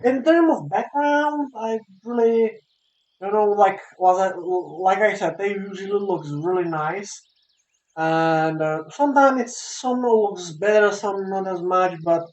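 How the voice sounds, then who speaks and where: Slow, high-voiced man, reviewer. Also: highs cut off. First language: a language other than English. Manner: halting and repetitive, searching for words. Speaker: video game reviewer. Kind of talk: casual unscripted review commentary. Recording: roomy echo, music playing behind. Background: soft guitar game music.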